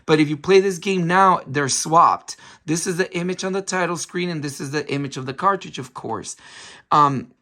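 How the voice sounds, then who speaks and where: A man with a hoarse voice, still recovering from a cold, hosting a casual game review with lots of ums and restarts. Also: a little high, normal speed, sharp tone. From San Francisco Bay Area. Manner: chatty, many ums, restarts himself. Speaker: retro game collector channel host.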